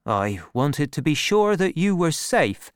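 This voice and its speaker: A reader voicing an earnest, worried farmer, a lovesick man who speaks in a measured, pleading way. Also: mid-range voice, sing-song, clean tone, no echo, clean studio sound, pitched above the performer's own voice. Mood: worried, sad.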